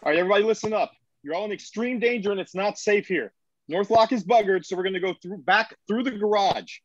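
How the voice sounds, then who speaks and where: A man's voice: high-pitched, fast talker. A man playing an urgent, commanding colony leader rallying people in a screenplay table read. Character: Lydecker, urgent commanding male leader rallying a frightened crowd. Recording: roomy, dull lo-fi audio.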